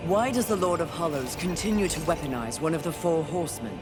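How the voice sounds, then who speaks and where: A woman's voice: low-pitched, fairly quick, lightly acted. An actress playing a fierce, sardonic female warrior in a video game, with clipped, imperious delivery. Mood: wary, sardonic. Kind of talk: dramatic video game voice acting. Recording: loud game music behind.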